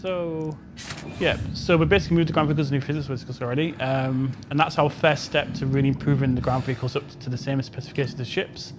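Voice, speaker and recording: A man's voice: medium pitch, fairly even intonation, quick pace, noisy background. A man explaining technical work casually as a developer on a panel, padding it with "you know". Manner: frequent "you know" asides.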